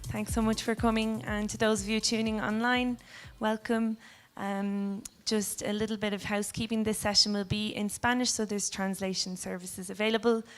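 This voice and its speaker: A woman's voice: medium pitch, level tone, measured pace, hardly any pauses, faint music behind. A woman from the event team giving a polite, formal introduction at a conference with a few fillers. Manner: polite, scripted, with some filler. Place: conference room.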